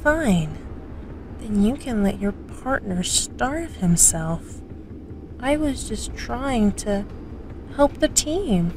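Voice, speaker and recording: A woman's voice: a little high, animated, extremely slow, background noise. A woman playing a smug, manipulative young female student in an audio drama, drawling and condescending as she taunts. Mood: defiant.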